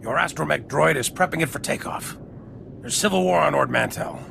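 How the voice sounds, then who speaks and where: An actor playing a resolute military general in a video game, grave and commanding. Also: male voice, on the high side, a little fast, gravelly, background noise. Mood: solemn, resolute. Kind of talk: video game character acting. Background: music.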